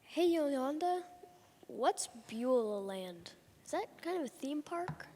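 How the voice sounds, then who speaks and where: High-voiced naive comic Bert played by a woman. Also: very animated, slow, dark tone, full character voice. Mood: naive, dreamy. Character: naive, mischievous comic character named Bert. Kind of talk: comic stage radio-show acting. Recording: echoey hall, noisy background.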